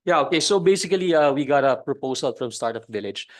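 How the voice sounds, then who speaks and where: A man presenting a proposal in a business meeting, halting with many fillers and restarts, heard over a video call. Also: on the high side, lively intonation.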